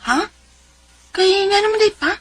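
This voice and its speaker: A woman's voice: very high voice, a little slow. An actress with a Cebuano accent playing a married mother in her forties who tells her story in a radio drama. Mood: familiar, warm. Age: 40s. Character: Zenaida, 42-year-old married mother of two, letter sender. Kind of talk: radio drama acting and letter narration. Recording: music bed behind the voice.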